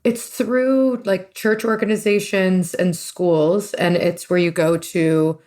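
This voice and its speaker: Chatty woman with a lowish voice. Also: steady tone, takes it slowly, a little mellow. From US East Coast, New Jersey and New York. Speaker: interview guest, renovation business partner. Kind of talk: casual conversational interview.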